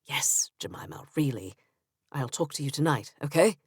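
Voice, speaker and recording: A woman's voice: sits low, very animated, moderate pace, no room sound, studio quality. A woman reading an audiobook, voicing a charming, sincere young man with an English accent. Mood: tender.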